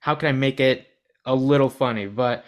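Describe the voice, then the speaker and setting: A man's voice: medium pitch, normal speed. A young American man hosting a podcast, earnest and rambling, often restarting his sentences. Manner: earnest, rambling, self-deprecating. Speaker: podcast host. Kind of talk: podcast interview.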